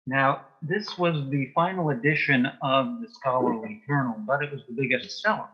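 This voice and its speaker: A man with a dry, deadpan sense of humour, telling anecdotes and jokes as an interview guest, in a husky voice. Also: mid-range voice, normal speed, dull audio. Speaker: interview guest, author and former folklorist.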